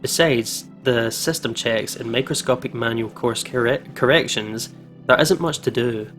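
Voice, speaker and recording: A man with a mid-range voice co-hosts a casual let's-play. He moves between informal banter and reading aloud, with music clearly audible behind him. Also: mid-range voice, measured pace, fairly bright tone. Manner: informal, reacts with exclamations and banter. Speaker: gaming channel co-host. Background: game music.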